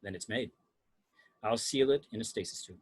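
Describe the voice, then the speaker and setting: A man reading a clinical, unruffled science officer in an amateur table read, measured and matter-of-fact. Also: fairly low pitch, lightly acted. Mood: insistent.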